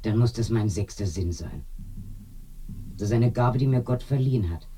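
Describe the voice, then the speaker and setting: An actress playing an eerie village widow in a radio drama, confiding and animated, with a dark husky voice that sinks to murmurs. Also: female voice, very deep voice, moderate pace. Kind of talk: binaural radio drama acting.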